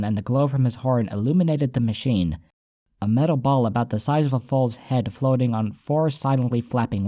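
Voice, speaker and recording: A man's voice: medium pitch, dark tone, dry recording, clean studio sound. A man reading a scripted first-person story as an audiobook narrator, with soundtrack music behind him.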